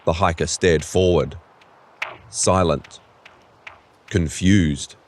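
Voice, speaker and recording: A man's voice: low voice, fairly even intonation, slow, slight background noise. A man reading scripted third-person story narration for an audio drama.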